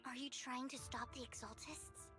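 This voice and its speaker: A woman's voice: high-pitched, fairly even intonation, normal speed. A woman voicing an earnest, anxious young woman in a video game, with an emotive, dramatic delivery. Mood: startled. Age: young adult. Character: earnest young woman, anxious ally, easily moved to tears. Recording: background music under the dialogue.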